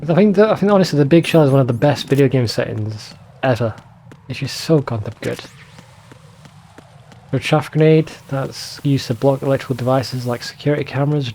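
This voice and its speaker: A man's voice: mid-range voice, mellow, a little hiss or hum. A man doing casual, rambling let's play commentary, conversational and given to casual swearing.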